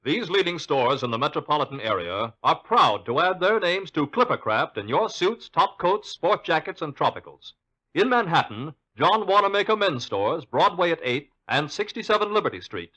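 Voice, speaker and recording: A male announcer reading an ad store list in a crisp manner. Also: on the high side, sing-song, normal speed, bright, forceful tone, low-quality recording. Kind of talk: reading an ad tag.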